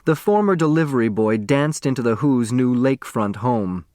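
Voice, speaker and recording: A man giving an expressive scripted narration of a novel as an audiobook narrator. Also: mid-range voice, moderate pace, dry recording.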